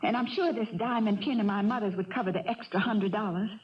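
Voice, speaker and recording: A woman's voice: medium pitch, fast, close to an everyday voice. An actress playing a determined, desperate farm wife and mother, speaking urgently and formally in a radio drama. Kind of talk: 1970s radio drama acting. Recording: dull 1970s radio recording.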